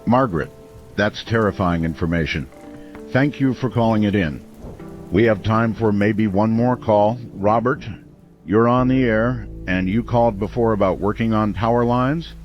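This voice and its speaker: An actor as a doomed late-night radio host, a man with a low, somber voice. He is steady, caring and earnest in this horror radio drama. The sound is slightly dull, with faint music beneath. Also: moderate pace, close to an everyday voice.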